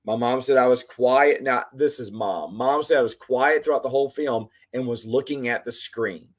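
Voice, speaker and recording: A man in his forties, hoarse from losing his voice, rambling in casual chat as host of a live Q&A and answering commenters by name. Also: mid-range voice, normal speed. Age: 40s. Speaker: livestream host.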